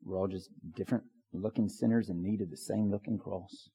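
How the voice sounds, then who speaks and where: A man preaching as a pastor, with a hoarse voice and lots of fillers and restarts. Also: on the low side, steady tone, a little fast, mellow. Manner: filler-heavy, restarts often. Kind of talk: prayer and sermon. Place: church sanctuary. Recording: long church reverb.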